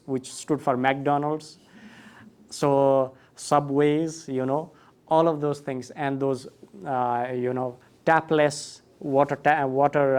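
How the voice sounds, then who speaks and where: A man's voice: mid-range voice, darkish tone. A man, a school director telling his story in a conference interview, thoughtful with fillers and restarts. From Nepal.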